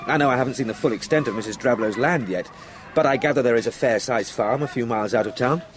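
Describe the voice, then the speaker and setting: An actor playing a young English solicitor in a radio drama, formal, articulate and questioning, with an uneasy edge. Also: male voice, medium pitch, quick pace, natural voice. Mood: probing. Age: young adult.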